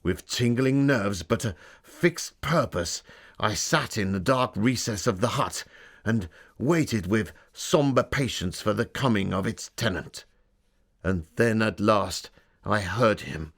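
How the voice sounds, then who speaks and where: Man playing an earnest Victorian gentleman diarist. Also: on the low side, animated, slow pace, pitched below the performer's own voice, exaggerated voice. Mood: tense, hushed. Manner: literary, solemn, dramatic storyteller. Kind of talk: dramatic audiobook-like diary reading.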